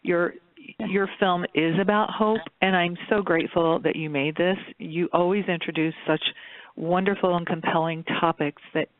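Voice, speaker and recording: A woman speaking in a measured, appreciative way as a panelist on a conference call. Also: lowish voice, steady tone. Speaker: charitable fund director, panelist. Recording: dry, muffled phone conference line.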